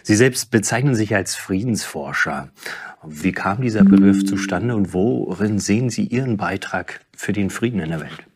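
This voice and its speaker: A male interviewer with a low, slightly husky voice. He asks his guest polite, direct questions. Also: lively intonation, normal speed.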